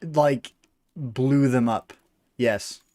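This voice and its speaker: A young man in his early twenties hosts a casual gaming stream, chatting as he plays. He talks loosely with plenty of fillers and laughs often. His voice sits at an ordinary pitch and sounds dry and close. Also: very slow, dark tone, no room sound. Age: early 20s. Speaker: game streamer host. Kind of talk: casual livestream chat while gaming.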